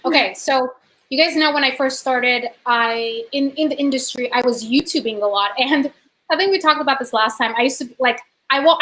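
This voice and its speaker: High-voiced chatty young woman. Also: poor audio. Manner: chatty, animated, upbeat. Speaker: co-host, art business owner. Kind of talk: casual video call chat. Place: home room. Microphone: webcam on a video call.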